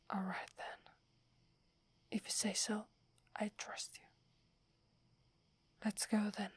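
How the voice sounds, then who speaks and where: A young female werewolf warrior, acted by a woman with an Italian accent, devoted and humble before her leader. Her voice is a very breathy, airy whisper. She speaks slowly and deferentially, in the intimate style of an ASMR roleplay. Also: mid-range voice, little pitch movement, heavily acted. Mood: hesitant, humble. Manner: slow, hushed, deferential.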